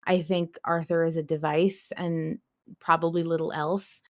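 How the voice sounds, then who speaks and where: Low-pitched woman, casually sweary podcast talk. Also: little pitch movement, unhurried. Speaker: podcast host. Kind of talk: casual fan discussion podcast.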